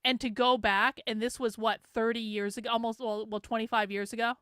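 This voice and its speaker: A woman's voice: fairly high pitch, level tone, brisk, fairly bright tone, clean tone, dry recording, clean studio sound. A middle-aged woman with a Vermont accent touched with Boston, hosting a live stream and reacting bluntly to a documentary. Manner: blunt, swearing, incredulous. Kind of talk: casual reaction commentary.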